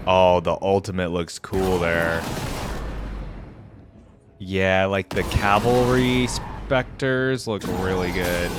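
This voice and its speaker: A man's voice: low voice, steady tone, takes it slowly, music in the background. A man giving casual, off-the-cuff reaction commentary as a video host, thinking aloud in an informal way.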